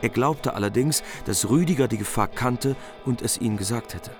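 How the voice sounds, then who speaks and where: A man's voice: medium pitch, normal speed, close to an everyday voice. A man delivering scripted literary narration in a radio play. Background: music.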